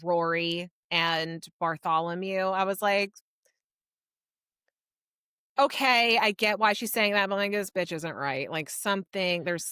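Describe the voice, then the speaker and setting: A woman co-hosting a podcast, talking casually about books in a measured, conversational way. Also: lowish voice, pushed and bright, smooth, no room sound, studio quality. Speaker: podcast co-host. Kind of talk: casual book review chat.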